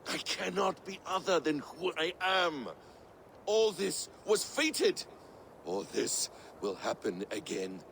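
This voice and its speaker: A cunning, bitter trickster god in a video game cutscene. His voice creaks and swings widely in pitch with theatrical menace, over a clearly noisy capture. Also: sing-song, takes it slowly, a bit bright, full character voice. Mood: defiant.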